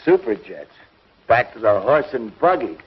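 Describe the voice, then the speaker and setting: A stern, loyal young American space hero. His mid-pitched voice is firm and straightforward, heard on a dull 1950s television soundtrack. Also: big pitch swings, measured pace, very noisy. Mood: matter-of-fact. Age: young adult. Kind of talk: 1950s television serial acting.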